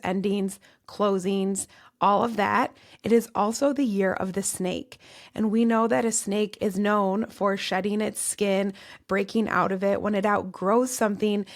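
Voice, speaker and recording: A Midwestern woman hosts her own podcast, her voice worn raspy and cracking. She has a gravelly edge at a middling pitch and moves through her points earnestly, one item after another. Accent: Midwestern American. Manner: earnest, list-like delivery. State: strained, cracking voice. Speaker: podcast host and tarot reader. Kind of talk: solo podcast monologue on astrology.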